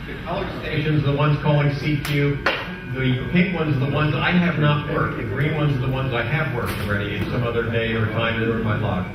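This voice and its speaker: A man's voice: medium pitch, fairly even intonation, normal speed, muffled recording. Echoey, distant man giving a technical demo. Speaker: club presenter giving a software demonstration. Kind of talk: informal technical presentation with live demo. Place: indoor club meeting room. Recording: echoey room from far off, clearly noisy.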